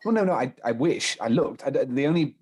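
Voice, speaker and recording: A pedantic male history enthusiast in a naturalistic comedy. He talks quickly and eagerly, lecturing on detail, and turns apologetic when cut off. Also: mid-range voice, expressive, a little mellow, slightly rough. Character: pedantic history-buff male amateur actor, eager to explain.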